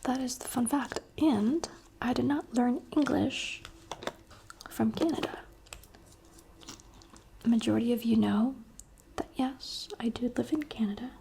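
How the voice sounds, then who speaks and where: Young woman ASMR creator from the UAE, soft unvoiced whisper, chatty and candid, faint hiss behind. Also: fairly high pitch, a little slow, gravelly, pauses often.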